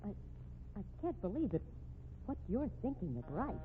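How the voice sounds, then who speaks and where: An earnest female sidekick from old radio drama, hesitant and proper. Her fairly high voice is lively, heard through a narrow vintage recording. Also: normal speed, over music. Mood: doubtful. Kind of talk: 1950s radio drama acting. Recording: band-limited old radio recording, faint noise.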